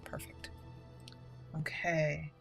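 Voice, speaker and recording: A woman's voice: deep voice, level tone, very slow, vocal fry. Female let's play host, chatty, roleplay-minded commentary, game music underneath. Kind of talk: gameplay commentary with in-character roleplay lines.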